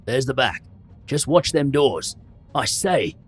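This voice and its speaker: A man voicing a burly, flustered, plain-spoken pub barman in an audiobook, loud and urgent. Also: mid-range voice, moderate pace, breathy edge, faint music behind, lightly acted. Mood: alarmed. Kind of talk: dramatised audiobook character voice.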